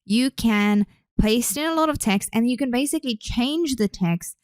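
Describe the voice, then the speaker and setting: A woman's voice: on the high side, expressive, normal speed, darkish tone, no echo. A young woman, a speech pathologist and podcast host, chatting enthusiastically with many fillers, then explaining her work. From Australia. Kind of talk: casual chat and explanatory talk.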